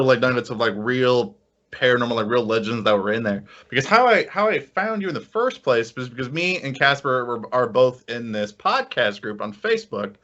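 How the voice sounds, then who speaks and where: A man with a bright, forceful voice chats as a podcast co-host. He is excitable and casual, often tripping over and repeating his words. The recording is a bit lo-fi. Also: mid-range voice. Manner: excitable, stammering with frequent repeats. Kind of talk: casual enthusiastic chat.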